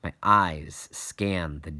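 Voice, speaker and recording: A man's voice: sits low, drawn-out words, pushed and bright. A man reading a children's novel aloud as a first-person narrator. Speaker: audiobook reader.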